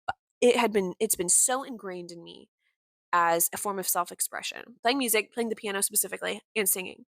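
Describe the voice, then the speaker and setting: A young woman hosting her own podcast, with a warm voice that is deeper than most women's, talking candidly and with passion, full of "like" fillers and the odd swear word. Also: a little fast, creaky, no room sound. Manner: candid and impassioned, with frequent "like" fillers and mild swearing. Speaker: podcast host. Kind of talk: casual personal monologue.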